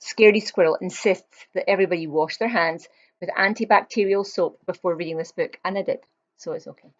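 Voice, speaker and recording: A woman's voice: lowish voice, fairly quick, dull audio. A woman reading a children's picture book aloud, expressively, with chatty explanatory asides to young listeners.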